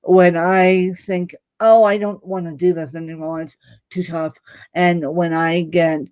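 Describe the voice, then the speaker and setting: A woman's voice: on the low side, level tone, measured pace, a little mellow, smooth, no room sound. A woman guest on a live video podcast, telling stories with emphatic, humorous delivery. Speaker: podcast guest and fellow podcast host. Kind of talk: casual video interview.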